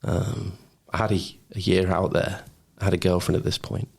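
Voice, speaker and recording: A man's voice: low voice, flat delivery, moderate pace, creaky, darkish tone, slightly rough. A man telling personal stories casually as a podcast guest, in an informal, blokey way. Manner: informal, blokey, says mate, man and bro. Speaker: podcast guest, former Royal Marine. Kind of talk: casual anecdotal storytelling.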